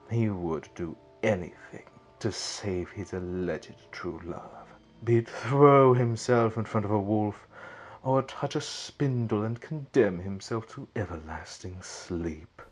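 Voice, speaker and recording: Low-voiced, gloating wicked sorcerer. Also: male voice, breathy edge, full character voice. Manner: taunting, theatrical, drawn-out delivery with sinister chuckles. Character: gloating, mocking wicked male sorcerer villain. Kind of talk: fan audio drama acting.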